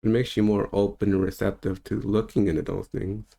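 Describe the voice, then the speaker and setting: Man in his thirties, deep low voice, unscripted rambling talk, laughs easily. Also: fairly even intonation, fairly quick, creaky, dark tone. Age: 30s. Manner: loose and rambling, with easy laughs. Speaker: spiritual teacher. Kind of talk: casual unscripted monologue.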